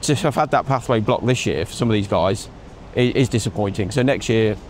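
Man, fast chatty host, outdoor paddock, lapel mic, faint background hum. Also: on the low side. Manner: quick, chatty questioning. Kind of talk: casual paddock chat. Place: outdoors in a racing paddock at night. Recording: faint outdoor street ambience.

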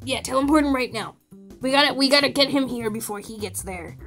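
A young woman improvising a Minecraft roleplay with friends in an excitable, dramatic, playful way. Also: sits high, a little fast, faint music behind. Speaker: gamer in a group roleplay video.

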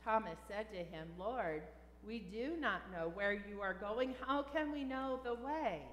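An older woman, a pastor, reading solemn liturgy and scripture at a funeral in a measured, deliberate way. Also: medium pitch, a bit bright. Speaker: pastor leading a funeral service. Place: large church sanctuary, indoors. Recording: echoey church with long reverb.

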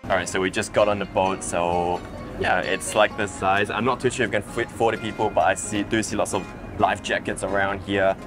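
A young man with a lowish voice talks casually as a travel vlogger, explaining places in a friendly, playful way. He is outdoors on an island and wears a clip-on lapel mic, with music playing clearly behind him. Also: steady tone, brisk. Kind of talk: casual travel vlog narration. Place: outdoors on a tropical island, beach and boat.

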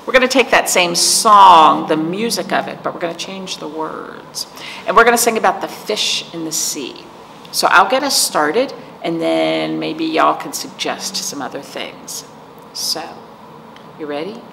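A woman's voice: low-pitched, unhurried, sharp tone. A middle-aged woman hosting storytime, chatting and explaining things to young children with frequent questions. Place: indoor library room with decorated wall. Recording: echoey room with clear background noise.

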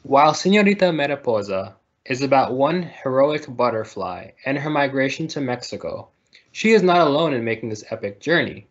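Animated man reading aloud, muffled sound. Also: medium pitch, normal speed. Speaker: storybook reader. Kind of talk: children's picture book read-aloud. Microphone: video call. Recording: muffled, lo-fi video call audio.

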